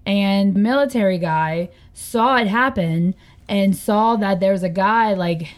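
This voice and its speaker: A woman co-host on a radio show retelling a news item in a casual, halting way. She leans heavily on "like" as she goes, with a mid-range voice. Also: medium pitch. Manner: hesitant, lots of "like".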